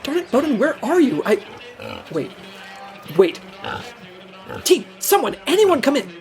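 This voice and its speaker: A man acting as an earnest, squeaky-clean special agent in a comedic audio drama, fussy and stammering as he mutters to himself. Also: very animated, a little slow, over music, exaggerated voice. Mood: frantic.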